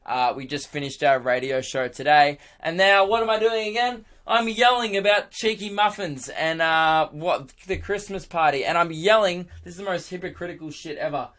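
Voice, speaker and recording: A young man with a hoarse, worn-out voice, rambling excitedly on his own podcast, laughing and swearing as he goes. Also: on the high side, moderate pace, few pauses. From Australia. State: hoarse, lost voice. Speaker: podcast host and radio presenter. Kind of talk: casual solo podcast chat.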